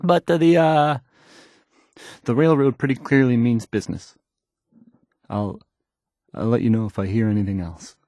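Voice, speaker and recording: A man's voice: medium pitch, moderate pace, long pauses, a little mellow, no echo, clean studio sound, natural voice. An actor playing a timid, awkward young male radio DJ in a video game, stammering and unsure of himself. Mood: hesitant, uneasy. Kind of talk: video game character acting.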